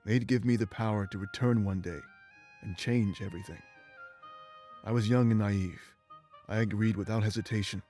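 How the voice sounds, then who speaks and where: An actor playing an ancient, remorseful immortal warrior in a video game, speaking in a solemn, measured, bitter way. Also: male voice, fairly low pitch, steady tone, mellow, faint music behind, close to an everyday voice. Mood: grave, confessional. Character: ancient immortal former rebel warrior, remorseful and weary man. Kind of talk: dramatic game voice acting, confessional monologue.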